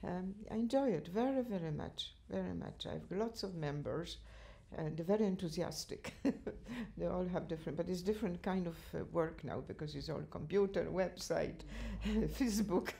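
Older woman, Polish accent, low gravelly voice, mellow tone, chatty, laughs often, home interview. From Poland. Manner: chatty, laughs often, halting non-native grammar. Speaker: interviewee, cultural association chair. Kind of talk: reminiscing interview answers. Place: living room at home, indoors.

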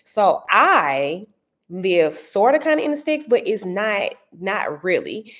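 A woman in her thirties with a St. Louis accent, chatting casually to viewers from her dining room, animated and often searching for words. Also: mid-range voice, takes it slowly. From Saint Louis area. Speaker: vlogger answering viewer comments. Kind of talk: casual chat.

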